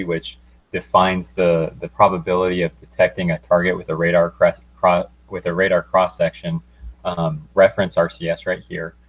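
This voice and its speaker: A young man with a very deep voice presents a technical project as a student would. His intonation stays quite flat and he feels his way through the walkthrough hesitantly, in plain informal language. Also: dull audio.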